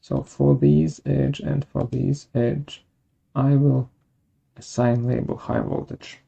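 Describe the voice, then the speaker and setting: A software webinar presenter narrating a tutorial step by step in a measured way, halting between steps. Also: mid-range voice, flat delivery, creaky, breathy edge, muffled recording.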